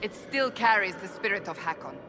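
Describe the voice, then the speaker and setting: A woman's voice: medium pitch, lively intonation, a little fast, music in the background, natural voice. Woman acting a dry warrior woman, reverberant. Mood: serious, thoughtful. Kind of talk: dramatic video game character acting. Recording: long reverb over noisy ambience.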